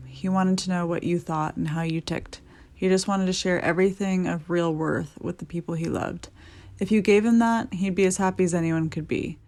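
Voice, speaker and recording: A woman acts out a gambler's late wife narrating an audio story in the first person. Her voice sits fairly low and crackles with vocal fry. She is candid and understated, wry but tender. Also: darkish tone, close to an everyday voice. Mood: wistful. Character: deceased wife of a poker pro, frank and tender.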